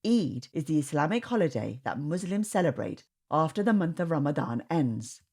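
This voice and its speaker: A woman reading a storybook aloud to children in an expressive, sing-song way. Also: low-pitched, normal speed, husky. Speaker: storytime reader. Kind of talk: children's read-aloud narration.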